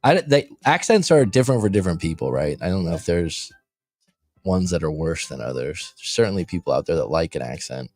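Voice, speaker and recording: A man's voice: low voice, brisk, no echo, faint music behind. A man co-hosting a casual livestream chat, telling anecdotes and acting out other people's voices. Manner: animated storyteller, imitates voices in anecdotes. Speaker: livestream co-host. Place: lives abroad in Thailand.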